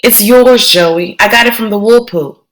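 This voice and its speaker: A woman voicing a quiet, shaken young boy who speaks haltingly. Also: medium pitch, close to an everyday voice, rough recording. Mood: dazed.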